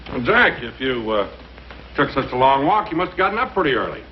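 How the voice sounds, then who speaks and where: An actor playing an eager, hurried sidekick in 1950s radio comedy. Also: male voice, medium pitch, sing-song, lightly acted. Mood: teasing. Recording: muffled, noisy old radio, loud audience.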